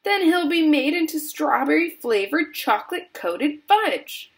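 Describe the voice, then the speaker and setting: Woman acting hysterical storybook mother, high-pitched, shrieking, indignant, scolding. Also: big pitch swings, measured pace, vocal fry, heavily acted. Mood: outraged. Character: hysterical, indignant, screeching mother of a greedy boy.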